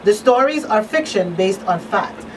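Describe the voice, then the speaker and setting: A woman's voice: mid-range voice, lively intonation, a little slow, recorded from a distance. A woman hosting a live radio talk show. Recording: off-mic, noisy room.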